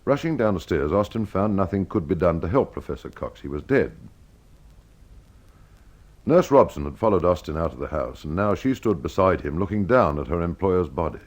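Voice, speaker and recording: A man's voice: low voice, very animated, normal speed. A man hosting and narrating a scripted detective mystery. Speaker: host and storyteller.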